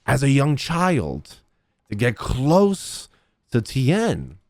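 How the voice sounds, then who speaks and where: Animated young man reacting to a game. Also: medium pitch, very slow, no echo, clean studio sound. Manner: informal, animated. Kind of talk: casual gameplay reaction, reading text aloud. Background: game music.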